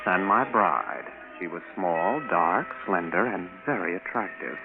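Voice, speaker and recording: A man playing an eager, easily flustered young rocket pilot captain in a 1950s radio drama, wry and hesitant. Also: on the low side. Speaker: narrator and lead actor. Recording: noisy, tinny vintage radio broadcast.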